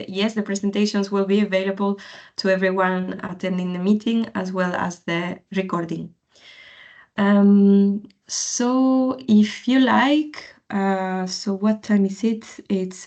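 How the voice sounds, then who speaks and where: A woman's voice: mid-range voice, muffled recording. A woman organizing and moderating an online info session, speaking hesitantly with many fillers. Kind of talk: online presentation. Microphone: video call.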